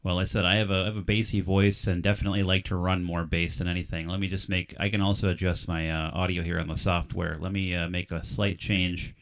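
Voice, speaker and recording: A man's voice: low-pitched, little pitch movement, quick pace, hardly any pauses. A man running a live ham radio stream, chatting with a contact about signal reports and his audio settings. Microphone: studio microphone.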